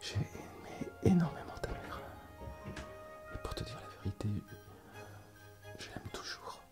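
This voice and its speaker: A man playing an earnest, affectionate middle-aged doctor and father in a whispered ASMR roleplay, halting and sincere. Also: loud music behind the voice, lightly acted. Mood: earnest, moved.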